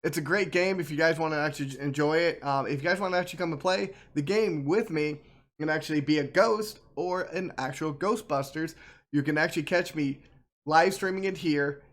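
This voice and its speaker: A man's voice: fairly high pitch, fairly quick, a bit bright. A man giving casual, rambling game commentary as a streamer, often repeating himself.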